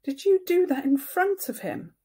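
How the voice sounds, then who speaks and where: A woman's voice: very high-pitched, sing-song, normal speed, dark tone, pitched above the performer's own voice. A woman voicing a firm, earnest mother of two young boys in a storybook, speaking with emphasis. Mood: stern, disappointed.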